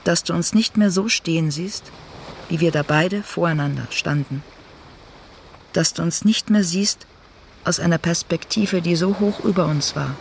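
An actor playing a grown child who confronts their mother in a literary radio monologue, with deliberate, repetitive phrasing. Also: medium pitch, gravelly, slight background noise. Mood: probing, haunted.

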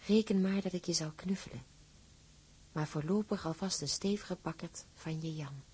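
An actress playing a woman who reads out a sailor's letter, with a husky voice. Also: lowish voice, moderate pace, a little mellow, slight background noise, natural voice. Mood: fond. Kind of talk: dramatised letter reading.

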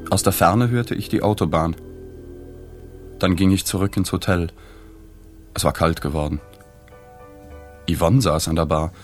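A low, sober male voice as a melancholy journalist in a radio play. He tells his story with restraint and reflection, with faint music underneath. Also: fairly even intonation, measured pace, pauses often. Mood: pensive. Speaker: narrator. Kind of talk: literary radio drama narration and dialogue.

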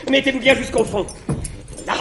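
A man's voice: very high voice, moderate pace. An actor playing a wily servant who feigns alarm to trick his master, in a theatrical classical stage comedy style. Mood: urgent, sly. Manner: theatrical, exclamatory, feigned panic. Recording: echoey old live theatre recording, hiss.

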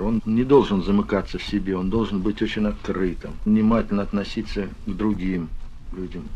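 A man explaining things in an interview as an experienced explorer, measured and emphatic. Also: low-pitched, a little mellow. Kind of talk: reflective, explanatory interview talk. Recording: dull old recording with faint noise.

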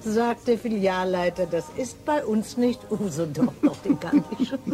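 A woman's voice: mid-range voice, expressive, moderate pace, over music, lightly acted. An actress playing a smitten woman detective in a radio drama, probing and intimate in manner. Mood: playful. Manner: intimate, probing, sometimes whispering. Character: smitten female chief detective, searching and wistful.

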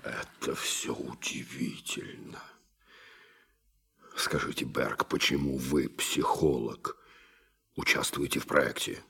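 A proud, skeptical man of science in a radio drama. His voice is extremely low and husky, and he speaks with emphatic force and brooding hesitations. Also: steady tone, slow, pauses often, bright, forceful tone, whispered, exaggerated voice. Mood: pensive, doubtful. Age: young adult. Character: skeptical young male scientist, proud, intense and thoughtful. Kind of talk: radio play acting.

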